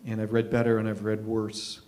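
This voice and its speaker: A man in his fifties from Canada hosting a live show, telling a personal story informally in a wry, reflective way with deliberate emphasis. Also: fairly low pitch, monotone, a little mellow. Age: 50s. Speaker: host of a live readers' theatre show.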